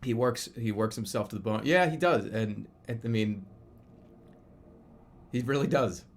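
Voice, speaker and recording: A man's voice: mid-range voice, a little slow. A man in his thirties chatting casually as a live streamer, rambling and often restarting or repeating his phrases. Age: 30s. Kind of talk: casual livestream chat commentary.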